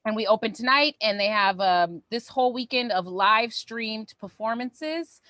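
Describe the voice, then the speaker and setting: Woman, higher-pitched voice, dry sound, online show co-host, casual announcements, upbeat and informal. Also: animated, normal speed, bright, forceful tone, no room sound.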